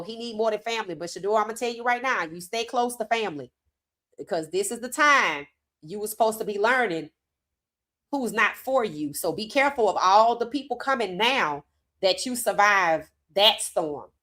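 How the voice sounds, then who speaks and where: A woman hosting a sports commentary livestream, hoarse from talking, animated and emphatic with casual slang. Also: medium pitch. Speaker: host.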